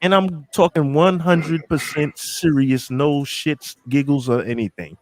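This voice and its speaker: A middle-aged man from New York, talking casually on a podcast, animated and emphatic, repeating himself and swearing freely. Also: fairly high pitch, a little slow. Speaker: podcast co-host.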